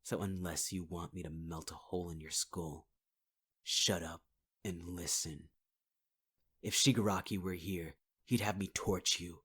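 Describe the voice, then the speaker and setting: A man's voice: low-pitched, steady tone, measured pace, bright, forceful tone, slightly rough. A man performing a possessive male villain in an ASMR audio roleplay, speaking close to the mic in a taunting, half-whispered voice and calling the listener sweetheart. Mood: menacing.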